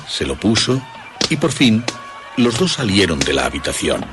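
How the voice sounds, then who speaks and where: Very deep male storyteller, lively delivery. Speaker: narrator. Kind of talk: scripted suspense story narration. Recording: music behind the voice. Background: music.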